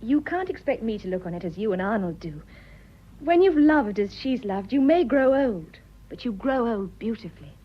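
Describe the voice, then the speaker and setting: A woman's voice: fairly high pitch, animated, normal speed. An actress with a British accent playing an earnest, hesitant, idealistic young wife in her twenties in a radio drama. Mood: romantic, pleading. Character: romantic, idealistic, nervous young English wife of twenty-five. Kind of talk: stage comedy radio drama acting. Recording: slightly dull, lo-fi audio.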